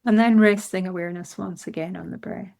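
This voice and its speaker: A woman leading a guided meditation in a soothing, unhurried manner with gentle instructions. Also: mid-range voice, fairly even intonation, creaky.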